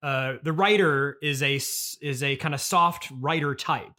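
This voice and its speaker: A man hosting a casual comedy interview in a halting, stammering, agreeable way. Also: fairly high pitch, sharp tone. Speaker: interviewer and host.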